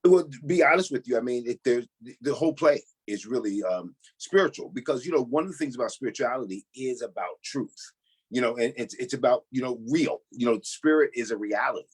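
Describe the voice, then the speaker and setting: A man with a husky, rough-edged voice at an average pitch gives conversational interview answers. He is earnest and emphatic, restating his point as he builds it. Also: expressive, brisk. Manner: earnest, emphatic, leans on "you know". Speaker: pastor and cast member, interview guest.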